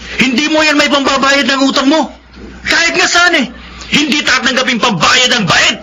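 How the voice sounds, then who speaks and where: Heartless Filipino moneylender for radio drama: very high, strident, mocking, faint noise behind. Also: male voice, normal speed, sharp tone, exaggerated voice. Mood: scornful, threatening. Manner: mocking, bullying. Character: greedy, heartless male moneylender, gloating and scornful.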